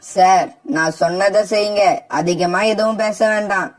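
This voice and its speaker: A woman voicing a scheming, coaxing young male crow in an animated bird fable. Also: medium pitch, moderate pace, lightly acted. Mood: curt. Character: scheming, smooth-talking young male crow, a deceitful son.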